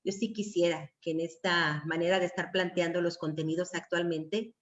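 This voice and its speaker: A woman's voice: lowish voice, fairly even intonation, moderate pace. Mexican woman moderating, dull lo-fi sound. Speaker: panel moderator; secondary school teacher. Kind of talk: moderating an online panel discussion. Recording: dull lo-fi video call audio.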